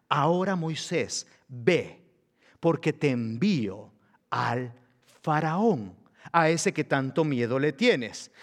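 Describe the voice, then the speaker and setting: A man preaches a sermon in a church hall, his voice sitting a little high. He drops to near-whispers, then climbs to loud, emphatic peaks. Long reverb trails each phrase around the hall. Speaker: pastor.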